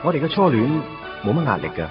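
An actor playing a shy, earnest teenage schoolboy in love, narrating and acting in a Hong Kong Cantonese radio drama. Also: male voice, medium pitch, very animated, fast talker, close to an everyday voice. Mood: nostalgic. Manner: hesitant, tongue-tied, earnest. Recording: dull lo-fi radio drama audio. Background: guitar music.

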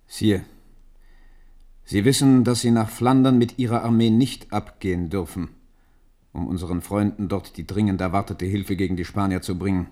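An actor in a German radio play playing a stern, shrewd minister, measured and formal as he counsels his king. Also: fairly low pitch, fairly even intonation, mellow, natural voice. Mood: urgent. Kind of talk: German radio drama acting.

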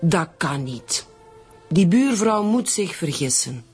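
A woman playing a cornered, unhappily married woman with a husky voice in a radio drama. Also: fairly low pitch, very animated, slow, darkish tone, faint music behind, exaggerated voice. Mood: defensive. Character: cornered married woman confessing a secret love affair. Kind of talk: naturalistic radio drama acting.

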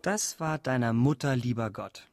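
Male actor as dreamy shepherd, terse, teasing, medium pitch, radio play, loud flute music. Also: normal speed, natural voice. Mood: calm, teasing.

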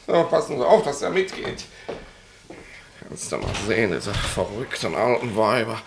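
An actor in a German radio play playing a bossy, nosy, smug middle-aged police inspector who rambles colloquially. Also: male voice, on the low side, big pitch swings, normal speed, creaky, noisy background. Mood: officious, indignant. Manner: colloquial, rambling, officious, tag questions.

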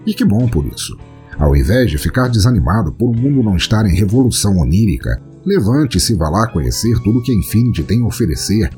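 A low-voiced Brazilian man delivers scripted audio-drama narration with a very animated, swooping pitch. His tone is dark and mellow, and his manner is theatrical and ornate. Faint music plays behind him. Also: fast. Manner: theatrical, florid wordplay. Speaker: podcast host and storyteller.

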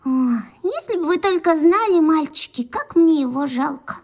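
An inquisitive, soft-hearted little schoolgirl chatters away in a children's radio play. Her voice sits very high and bright with a childlike rush of excitement. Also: moderate pace. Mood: pitying. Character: lively inquisitive schoolgirl Katya, tenderhearted.

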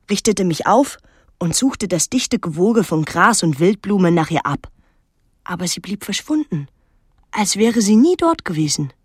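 Husky young woman, introspective storyteller. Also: mid-range voice, no room sound, studio quality. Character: reflective eighteen-year-old daughter telling her own story. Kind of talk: literary radio drama narration and dialogue.

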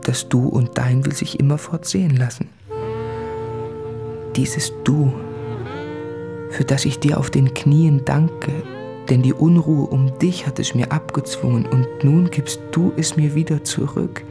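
Rastloser Schriftsteller mit knarrender Stimme. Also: male voice, medium pitch, steady tone, unhurried, vocal fry, over music. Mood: anguished longing. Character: restless, anguished, self-doubting male writer in love. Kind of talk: literary radio play monologue.

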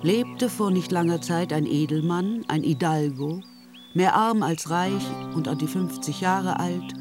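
An actress as the composed, wry narrator of a literary radio drama. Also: female voice, fairly low pitch, a little slow, music in the background, natural voice.

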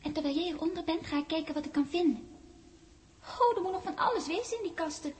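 Chirpy, bossy young girl, piping high, playful teasing, faintly noisy sixties radio play. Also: fast talker. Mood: brisk, bossy. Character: childlike, teasing, bossy young girl Louise who never ages. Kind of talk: 1960s radio drama acting. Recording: old 1960s radio, steady hiss.

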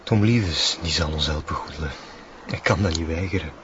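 An actor playing an urgent, persuasive fugitive cult follower with a Flemish accent in a TV crime drama. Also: male voice, extremely low pitch, normal speed. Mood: urgent, secretive. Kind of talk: naturalistic TV crime drama dialogue. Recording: dull sound, clear background noise.

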